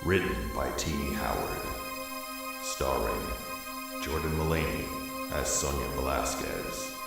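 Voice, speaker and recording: Extremely deep, gravelly male announcer. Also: lively intonation, measured pace. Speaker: announcer reading the drama's credits. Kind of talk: slow, ominous scripted announcement. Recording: distant, very echoey, loud music behind.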